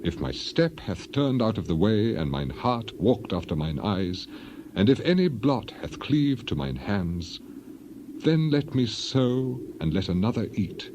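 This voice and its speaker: A man's voice: lowish voice, sing-song, a little slow, background noise. A man playing an upright suffering man who solemnly declaims oaths of innocence in a dramatized scripture reading.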